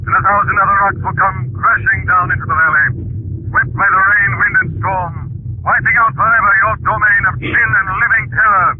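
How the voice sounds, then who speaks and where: An actor playing an avenging crime fighter as an ominous, commanding voice, solemnly pronouncing doom in radio melodrama style. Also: male voice, high-pitched, steady tone, fairly quick, pauses often, lightly acted. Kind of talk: old-time radio melodrama acting. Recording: tinny noisy old radio recording.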